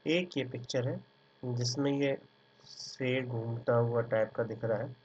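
A man's voice: mid-range voice, slow pace, darkish tone. A man teaching an art exam class, dictating notes word by word and then explaining pictures in a casual way. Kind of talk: slow dictated lecture over handwritten notes. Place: at a computer, screen recording. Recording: dull lo-fi sound with mains hum. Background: faint electrical hum.

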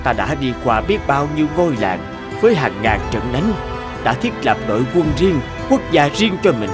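A man voicing a solemn, expressive warrior king. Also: medium pitch, moderate pace, music in the background, natural voice. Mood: wistful, regretful. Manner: solemn, reflective. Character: proud, regretful, homesick warrior king in middle age. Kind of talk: cartoon fairy-tale dubbing.

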